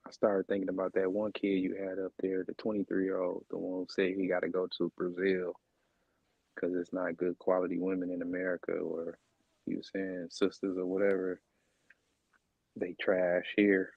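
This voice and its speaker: Brief: deep gravelly man, husky, laid-back reaction co-host, dull lo-fi sound. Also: no room sound. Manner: laughs often, drawn-out talk. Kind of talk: casual reaction chat.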